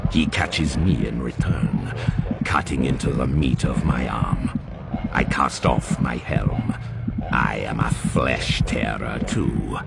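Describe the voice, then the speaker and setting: An actor playing a savage, rage-driven warrior champion in an audio drama, with gravelly, menacing, intense delivery. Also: male voice, steady tone, slow, heavily acted. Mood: menacing, fierce. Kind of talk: audio drama first-person narration. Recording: loud rumbling battle noise behind the voice.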